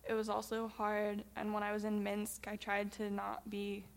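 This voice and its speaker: Chatty young American woman, slightly creaky. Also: medium pitch, monotone, normal speed. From United States. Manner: chatty, many ums, laughs while talking. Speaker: missionary reporting back. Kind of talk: casual storytelling presentation.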